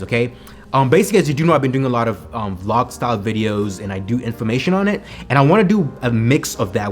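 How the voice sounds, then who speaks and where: A man with a Kenyan accent, vlogging about business with energy, repeating himself and asking "right?" to his viewers. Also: medium pitch. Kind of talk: motivational business vlog talk. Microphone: camera mic on a home video set.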